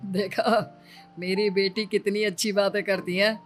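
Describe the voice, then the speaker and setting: A woman's voice: medium pitch, level tone, unhurried, music in the background, natural voice. A woman voicing a cautious middle-aged father in a story, doubtful and protective. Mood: proud.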